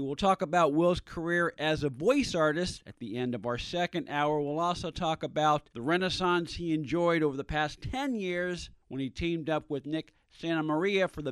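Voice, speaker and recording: A man hosting a radio show, announcing in a measured, deliberate, scripted way. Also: fairly high pitch, smooth, dry recording.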